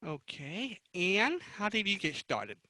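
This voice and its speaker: A man moderating a panel, introducing guests off the cuff in a halting, conversational way. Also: high voice, huge pitch swings, pushed and bright.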